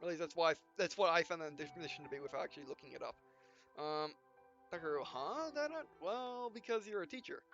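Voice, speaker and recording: A man with a fairly high voice reads game text aloud in a casual, stumbling way, voicing the punctuation too. The sound is dull and lo-fi, with clear game music underneath. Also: expressive, fairly bright tone. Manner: halting, reads punctuation and speaker names aloud. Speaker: let's player reading visual novel text aloud. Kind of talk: casual gameplay read-aloud with asides.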